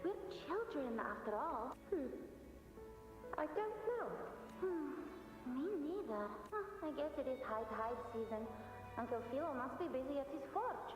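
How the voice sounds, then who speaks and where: An actress voicing an earnest child in a video game flashback, the voice washed in long reverb. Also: female voice, sits high, very animated, a little slow. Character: earnest child in a remembered past. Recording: echoey distant flashback reverb, music behind.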